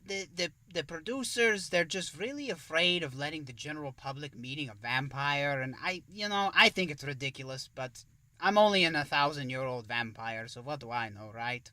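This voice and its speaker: A man's voice: on the high side, moderate pace, pushed and bright, dry recording, distant mic. A man playing a genial, self-important thousand-year-old vampire who hosts an interview show in a theatrical, effusive manner. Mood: genial, playful. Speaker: show host. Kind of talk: in-character interview hosting.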